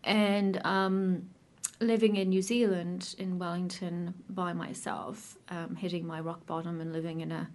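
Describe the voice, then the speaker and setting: A woman with an Irish accent, probably in her thirties, sharing her personal recovery story in a casual, hesitant way with many pauses and restarts. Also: on the low side, level tone, darkish tone. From Ireland.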